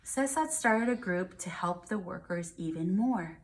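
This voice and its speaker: A woman's voice: fairly low pitch, lively intonation, a little slow. An American woman, a first grade teacher, reads aloud to young children and explains things simply, checking in with questions.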